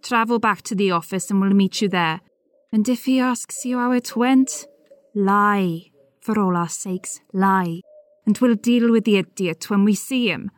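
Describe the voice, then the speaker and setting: A British woman playing a bossy, exasperated senior political staffer in an audio comedy, brisk and dry. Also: mid-range voice, no echo, close to an everyday voice. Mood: brisk, commanding. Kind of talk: audio comedy drama acting.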